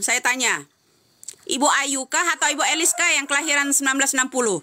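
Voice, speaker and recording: A woman's voice: sits high, bright, forceful tone. A woman giving heated live-stream commentary, answering comments with insistent, repeated rhetorical questions.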